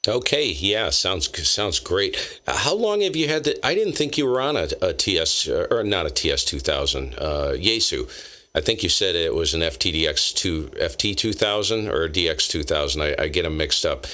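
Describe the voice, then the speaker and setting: Deep bright-toned ham radio host. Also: male voice, very animated. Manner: chatty, quick, loose and friendly. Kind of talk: casual radio chat.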